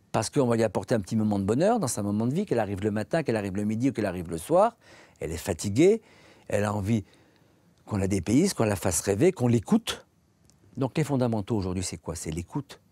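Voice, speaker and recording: A man in his forties with a French accent, a shoe salesman giving an earnest, reflective personal testimony, speaking in measured phrases with pauses. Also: on the low side, dark tone, dry recording. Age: 40s.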